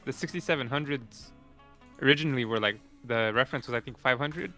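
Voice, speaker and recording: A man's voice: mid-range voice, fairly quick, sharp tone, faint music behind. A young man co-hosting a casual tech podcast, easygoing and explaining things plainly with fillers. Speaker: co-host.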